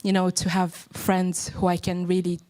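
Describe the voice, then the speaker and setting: A woman from Russia speaks up from the audience at a panel evening. She recounts her experience thoughtfully and hesitantly, pausing and using fillers as she gathers her thoughts. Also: lowish voice, monotone, mellow. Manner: hesitant, reflective, with many pauses and fillers. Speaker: audience member. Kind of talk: sharing a personal story at a panel event.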